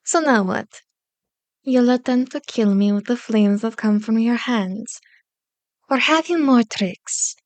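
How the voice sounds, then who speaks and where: Woman playing a slow, seductive elder vampire lady. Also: fairly high pitch, darkish tone, dry recording. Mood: amused, threatening. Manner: slow, deliberate, teasing, formal wording. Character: powerful elder female vampire, seductive, taunting, menacing. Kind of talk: ASMR roleplay, scripted monologue. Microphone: close microphone, dry recording.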